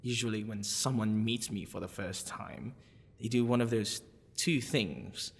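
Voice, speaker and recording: A man playing a smitten, possessive half-dragon librarian in a boyfriend ASMR roleplay, with a halting, stammering, husky delivery. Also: on the low side, full character voice. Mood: reminiscing, annoyed. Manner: halting, stammering, breathy sighs and nervous laughs. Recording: long reverberant echo.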